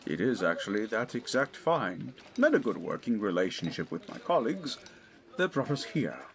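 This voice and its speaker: A man acts out a middle-aged English archaeologist of the 1920s in an audio drama. He is formal and professorial, choosing words with deliberation. His medium-pitched voice rises and falls widely with scholarly eagerness. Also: sing-song, moderate pace. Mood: measured, confident. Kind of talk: scripted audio drama acting.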